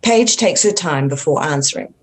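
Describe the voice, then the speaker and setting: A woman's voice: sits low, lively intonation, normal speed. A woman reading screenplay stage directions as a narrator, in a plain, matter-of-fact manner.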